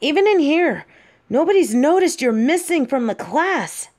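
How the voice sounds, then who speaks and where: Woman acting a slow-talking bossy tsundere bully girl. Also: high voice, big pitch swings, a little mellow. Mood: awkward, confessing. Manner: bossy, taunting, flustered halting delivery. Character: possessive tsundere bully girl, brash but secretly smitten. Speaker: audio roleplay voice actor. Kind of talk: acted audio roleplay addressed to the listener.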